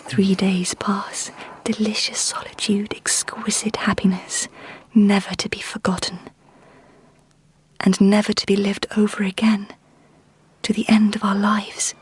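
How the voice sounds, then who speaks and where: An actress playing an earnest, brooding young Victorian bride, giving an intimate inner monologue in a breathy whisper. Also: female voice, mid-range voice, level tone, measured pace, lightly acted. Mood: reflective. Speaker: lead actress and narrator in a radio drama.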